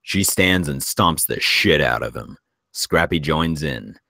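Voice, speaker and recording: Very deep-voiced male script narrator. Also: animated, slow pace, creaky, bright, forceful tone, dry recording, clean studio sound. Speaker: narrator reading stage directions. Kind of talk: scripted narration for a table read.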